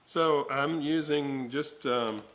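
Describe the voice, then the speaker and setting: Slow-paced man explaining hands-on repairs, bright voice. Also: a little high. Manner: halting, thinking aloud while working. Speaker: host of a radio restoration video series. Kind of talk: informal hands-on repair walkthrough. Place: indoor workbench, hands working on components. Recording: noticeable background noise.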